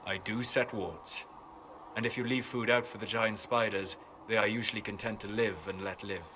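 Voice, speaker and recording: A man voicing a calm, scholarly, quietly aloof elven mage in a video game, with measured, erudite speech. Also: lowish voice, a little hiss or hum. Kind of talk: video game character acting.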